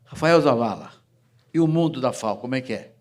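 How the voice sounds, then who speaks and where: A man's voice: mid-range voice, lively intonation, fairly quick. A man moderating a panel, emphatically thanking one speaker and handing over to the next.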